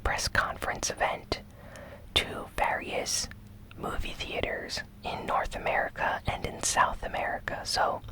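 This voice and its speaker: An ASMR host recapping news entirely in a breathy, unvoiced whisper, with gentle, measured phrasing and short pauses. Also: poor audio. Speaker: host of a gaming news show.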